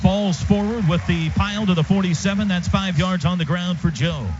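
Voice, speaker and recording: A high-voiced man does live radio play-by-play of a football game. He calls it in short, urgent bursts. The feed is dull, with clear stadium noise behind him. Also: normal speed. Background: stadium ambience.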